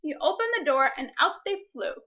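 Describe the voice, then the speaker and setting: High-voiced woman teaching through a read-aloud. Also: animated, brisk. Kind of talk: picture-book reading with teaching explanation. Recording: dull lo-fi recording.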